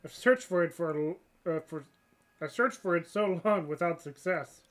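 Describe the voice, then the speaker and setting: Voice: mid-range voice, animated, moderate pace. A channel host with a stuffed-up, nasal voice, reading game dialogue aloud in a casual read-through, often tripping over words and correcting themselves. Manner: reads unevenly with frequent stumbles and self-corrections. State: stuffed-up nose.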